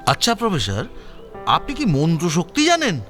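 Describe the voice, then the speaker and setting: Actor as grateful police chief, audio drama, bright forceful voice, high pitch, halting emphasis, faint music. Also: male voice, wildly swooping pitch. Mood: amazed. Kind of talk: dramatised audio story acting.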